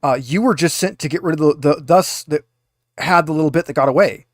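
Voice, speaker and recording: A man's voice: on the high side, fairly quick, no room sound, clean studio sound, natural voice. A man playing a blunt, exasperated female lance corporal who has deserted, in a tabletop game. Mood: scornful, exasperated.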